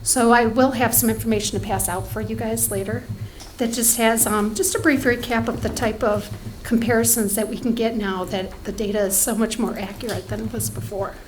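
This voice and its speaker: A woman's voice: a little high, steady tone, fairly quick, slight background noise, low-quality recording. A woman giving a report at a township board meeting, talking conversationally with many ums and restarts, her voice fading from allergies.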